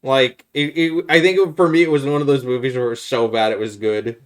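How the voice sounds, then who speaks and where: Guy podcast host, mid pitched voice, casual and blunt, critical takes. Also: fairly even intonation, a little fast. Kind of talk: casual opinionated chat about films.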